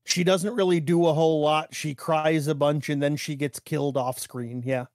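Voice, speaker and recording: A man with a fairly high-pitched voice talks casually as a podcast co-host. He works through notes haltingly, sprinkling in "like" as he goes. The sound is dry, with no room echo. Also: steady tone, a little mellow. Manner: halting, peppered with "like".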